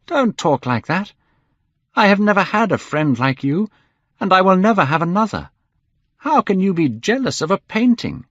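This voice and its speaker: Man playing an earnest, high-pitched wounded painter. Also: huge pitch swings, normal speed, hardly any pauses, bright, forceful tone, no echo, dull audio, full character voice. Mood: pleading. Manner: earnest, emotional, pleading. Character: sensitive, earnest, easily wounded male painter.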